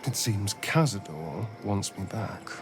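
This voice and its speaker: Flamboyant, theatrical vampire over music. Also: male voice, on the low side, lively intonation, slow. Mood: bitter, uneasy. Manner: arch, dramatic, drawling emphasis. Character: flamboyant, sardonic male vampire spawn, haunted by his master. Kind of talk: theatrical video game voice acting. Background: background music.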